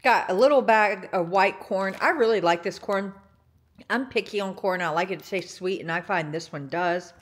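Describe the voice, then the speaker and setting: A woman's voice: mid-range voice, normal speed, slight background noise. A young woman casually chatting about her grocery haul at home, enthusiastic, with lots of filler words like "like" and "um.". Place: home kitchen counter.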